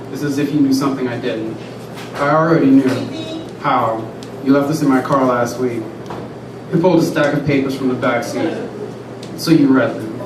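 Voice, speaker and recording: Man reading prose in an echoey hall. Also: medium pitch. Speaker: student reader. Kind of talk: reading his prose aloud. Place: large room at a live reading. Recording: echoey room, far from the mic, noisy.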